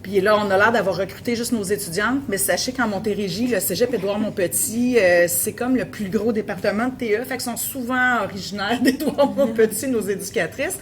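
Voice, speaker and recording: A woman with a Québécois French accent presents her research at a conference, pausing often with fillers and restarting her sentences. Her voice sits at a middle pitch, with a faint low rumble in the background. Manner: hesitant, many fillers and restarts. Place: conference room.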